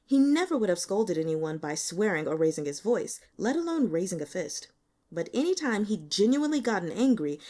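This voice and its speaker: Animated woman narrating a novel. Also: fairly low pitch. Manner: expressive, dramatic storytelling. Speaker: audiobook reader.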